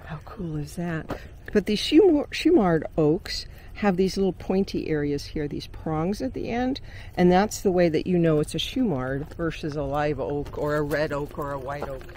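Middle-aged woman, hiking companion, chatty and upbeat, filmed by someone else, clear outdoor noise behind. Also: low-pitched, expressive, normal speed, mellow. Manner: chatty and upbeat, pointing out plants. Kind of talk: casual chat. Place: outdoors on a lakeside trail. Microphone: camera held by someone else.

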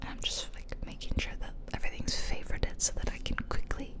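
A woman whispering casual, playful game commentary in a breathy ASMR style, close to the microphone. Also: a little fast. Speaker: gaming video host. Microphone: close ear-to-ear ASMR microphone.

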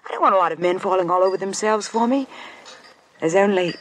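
An actress playing a sweet, coquettish young American nurse in a 1950s radio drama, gushing and coy. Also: female voice, mid-range voice, animated, fast, natural voice. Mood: coy, confessional. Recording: faintly noisy 1950s radio recording.

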